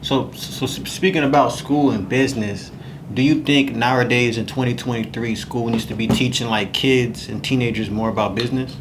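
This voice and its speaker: A man's voice: mid-range voice, fairly even intonation, moderate pace, noisy background. A man hosting a casual podcast interview, laid-back and affirming. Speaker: podcast host.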